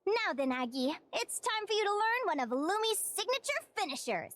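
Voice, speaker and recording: A woman's voice: extremely high pitch, very animated, moderate pace, fairly bright tone, heavily acted. A woman playing a cheerful, determined young female adventurer in an anime-style video game, earnest and full of exclamation. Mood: eager, upbeat. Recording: music behind the voice.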